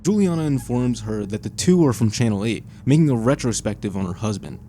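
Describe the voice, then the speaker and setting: A man's voice: mid-range voice, expressive, a little fast, mellow, faint music behind. A man narrating a scripted video essay in a suspenseful storytelling manner. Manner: suspenseful second-person storytelling.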